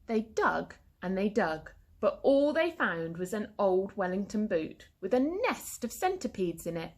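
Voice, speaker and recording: Animated woman reading a children's story slowly. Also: medium pitch. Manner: animated, deliberate. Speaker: primary school teacher reading aloud.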